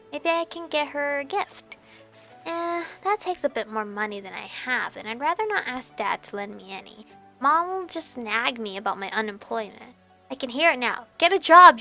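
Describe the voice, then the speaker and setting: A woman reading a visual novel aloud as expressive narration, with animated reactions and some stumbling over words. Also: fairly high pitch. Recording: background music behind voice. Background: game music.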